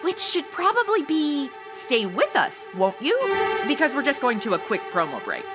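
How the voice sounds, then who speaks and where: A woman's voice: sits high, huge pitch swings, moderate pace, loud music behind the voice. An American woman playing a chatty, flippant modern rookie investigator in a comedy audio drama, breezy and casual. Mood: matter-of-fact.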